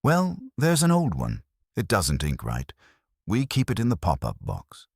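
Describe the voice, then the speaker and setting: A man's voice: deep voice, wildly swooping pitch, measured pace, creaky, darkish tone, breathy edge, dry recording, studio quality, voice pushed up, heavily acted. A man voicing a weary, sardonic young woman apprentice baker, curt and guarded, in an audiobook mystery. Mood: resigned, guarded.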